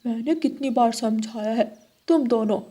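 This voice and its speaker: An eager young crow boy in a children's cartoon, voiced by a woman, trusting and stubborn, chattering away to his friends. The voice is high, with a darker, softer tone. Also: moderate pace, natural voice. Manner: eager, cheerful.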